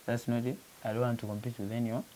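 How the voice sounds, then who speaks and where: A man's voice: medium pitch, little pitch movement, fast talker, dark tone, rough recording. A man from Uganda, a musician being interviewed, talking earnestly in a casual chat and often saying "you know" as he restarts phrases. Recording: faint background hiss.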